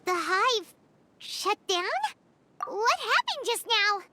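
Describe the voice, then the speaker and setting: A woman voicing a tiny, excitable fairy companion in a game cutscene, squeaky, referring to herself in the third person. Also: slow, background noise, full character voice. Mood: chirpy. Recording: game audio with loud score. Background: music.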